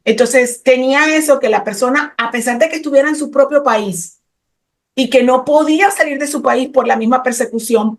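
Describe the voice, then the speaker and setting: A middle-aged woman from Colombia, talking passionately and technically about immigration law in an interview, with frequent hesitant fillers. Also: on the high side. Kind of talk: explanatory interview talk.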